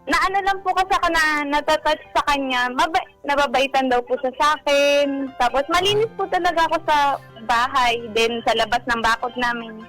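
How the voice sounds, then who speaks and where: A woman's voice: high-pitched, brisk, fairly bright tone, poor audio. A woman telling a personal story to radio hosts in a polite, chatty, candid way. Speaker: caller telling her marital troubles. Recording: music playing behind. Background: background music.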